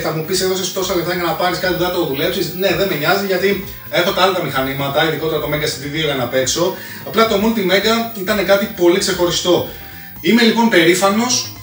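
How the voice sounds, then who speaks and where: Man, thirties, higher-pitched voice, casual chatty host, slangy, English jargon mixed in, echoey room. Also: fairly bright tone. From Greece. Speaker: host of a retro console collecting channel. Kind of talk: casual video presentation to viewers. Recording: echoey room, distant mic, music behind.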